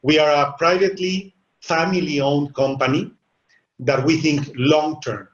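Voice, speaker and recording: A middle-aged Mexican-accented man talks through his points on a webinar panel, earnest and repeating little words as he goes. His voice sits a touch high for a man. The sound comes over a video call from a roomy space, and the line is dull and lo-fi. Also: steady tone, unhurried. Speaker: webinar panelist, business executive.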